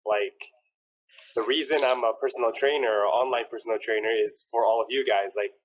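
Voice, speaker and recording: A man's voice: mid-range voice, fairly even intonation, a little fast, a little hiss or hum, low-quality recording. A young man, a personal trainer, telling a group why he does his work, earnestly and with lots of "like" fillers. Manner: earnest, filler-heavy, frequent "like". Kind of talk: heartfelt personal talk to a group.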